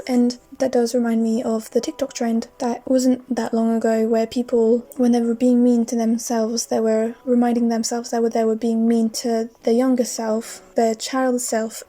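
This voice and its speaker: A young woman with a slightly high, mellow voice talks in a fairly even, level tone. She muses aloud and wanders between thoughts, filling pauses with "like" and "um", as a casual voice-over for her art video. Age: young adult. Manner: reflective, rambling, with fillers. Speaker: art vlogger.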